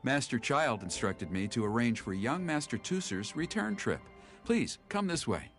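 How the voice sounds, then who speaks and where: A man's voice: mid-range voice, big pitch swings, normal speed. A stern servant escort who speaks in a stiff, polite, formal way. His intonation rises and falls a lot. Game music plays behind him. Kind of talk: video game voice acting.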